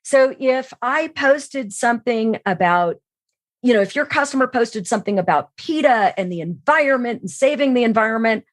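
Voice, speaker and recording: A woman's voice: fairly high pitch, expressive, normal speed, no echo. A woman speaking as an expert interview guest, explaining her points conversationally with emphasis on key words. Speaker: podcast guest, sales trainer and keynote speaker.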